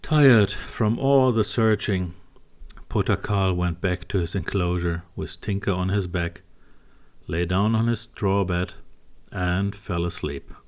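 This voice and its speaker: A man narrating a scripted bedtime story for young children in a simple, deliberate way. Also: deep voice.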